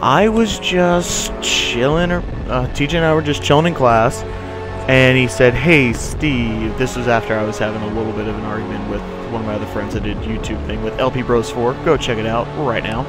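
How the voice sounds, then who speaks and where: A man's voice: mid-range voice, fairly quick. A young man, a voice actor, telling rambling casual anecdotes in an interview. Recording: music bed underneath.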